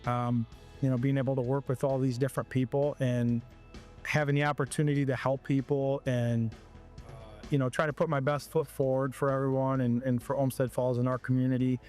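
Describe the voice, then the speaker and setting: A man in his forties from Ohio, a high school football coach, answering interview questions in a halting way, repeating words as he thinks. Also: level tone, a little mellow, soft background music.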